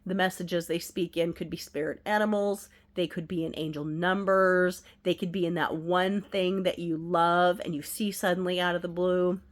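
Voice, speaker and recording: A middle-aged woman with a stuffed-up, nasal voice from a head cold, gently reading oracle cards at home and sharing the messages. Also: fairly low pitch, steady tone, moderate pace, hardly any pauses. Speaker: oracle card reader, channel host. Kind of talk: casual spiritual card reading. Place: at home, indoors.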